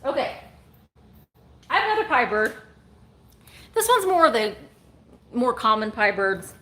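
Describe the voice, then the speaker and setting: A woman's voice: on the high side, very animated, measured pace, a bit bright. A woman in her forties hosting a live vintage sale from home, chatting and joking as she shows items. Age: 40s. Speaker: online vintage seller.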